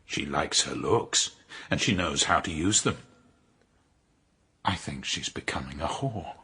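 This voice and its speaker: An actor playing a cold, sardonic, jealous nobleman in a period radio drama, sneering and theatrical, with a raspy voice. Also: a bass voice, expressive, unhurried, sharp tone, exaggerated voice. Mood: suspicious, menacing. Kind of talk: period radio drama acting, narration and dialogue.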